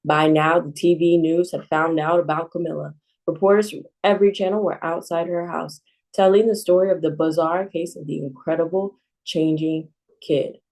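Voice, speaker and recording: A woman librarian reading a children's picture book aloud in a calm, expressive way. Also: low-pitched, moderate pace, rough recording.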